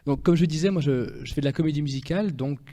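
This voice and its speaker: A man from Belgium with a Belgian French accent, a guest talking in a relaxed, chatty way on a radio interview. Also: a little high, quick pace, in a large hall. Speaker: guest, pianist and actor.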